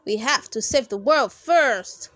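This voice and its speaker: A woman reads an earnest, simple-minded storybook superhero. The delivery is plain and declarative, fairly high and unhurried, in a dry close recording. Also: expressive, a bit bright, no room sound.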